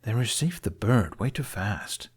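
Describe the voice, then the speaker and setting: A man's voice: on the low side, expressive, unhurried, breathy edge, heavily acted. A man voicing an anxious, brooding young Viking for an audiobook, earnest and pointed in how he explains things. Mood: worried, insistent.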